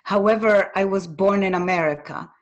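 Earnest Polish-born-and-raised woman, mid-pitched. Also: moderate pace, lo-fi sound. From Poland. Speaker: interview guest. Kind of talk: reflective personal storytelling.

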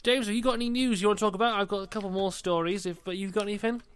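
A man's voice: sits high, fairly even intonation, racing, clean tone. A young man co-hosting a casual podcast chat, leading through the news and teasing his co-host with dry humour.

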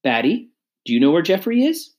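A woman voicing a calm, courteous father in a storybook, speaking politely, firmly and kindly. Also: on the low side, a little fast, pitched above the performer's own voice.

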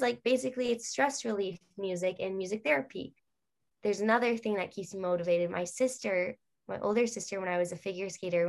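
A woman's voice: medium pitch, vocal fry. A young adult woman, a guest in a casual interview, talking at length in a rambling way with many fillers.

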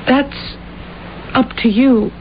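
A weary, long-suffering wife in an old radio drama. She pleads earnestly with theatrical emotion. The sound is muffled, with clear noise from an aged recording. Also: sits high, fairly even intonation, slow. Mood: exasperated, hurt.

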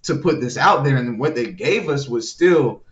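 A man co-hosting a casual podcast, talking loudly and rambling with a lot of laughter. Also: medium pitch, expressive, moderate pace. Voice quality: loud voice. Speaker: podcast co-host. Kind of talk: casual chat. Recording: dull lo-fi sound, faint noise.

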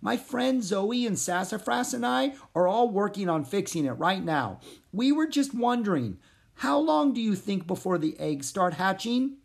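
Woman voicing Pip, a gentle little frog. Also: mid-range voice, animated, moderate pace, creaky, husky, pitched above the performer's own voice. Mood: gentle, nervous. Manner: gentle, earnest, softly pleading. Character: small anxious male forest frog, kind and helpful. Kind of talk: storybook character voice.

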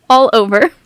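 High-voiced woman running a roleplay game. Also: fairly bright tone, no echo. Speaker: game master in a roleplay podcast. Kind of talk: casual improvised game narration.

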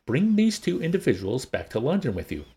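A man's voice: wildly swooping pitch, fairly quick, mellow, slightly rough, full character voice. A man voicing a formal, curt, authoritative world leader in a novel. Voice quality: deep, resonant as described.

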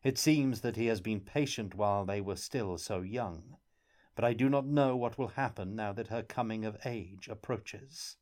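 A man voicing a gentle wandering storyteller. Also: lowish voice, moderate pace, close to an everyday voice. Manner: gentle, calm. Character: young travelling player, gentle and steady.

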